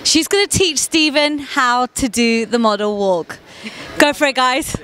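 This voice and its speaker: A woman's voice: sits high, lively intonation, unhurried, crowd noise. A young woman presenting a segment with a handheld mic, upbeat and cheering others on.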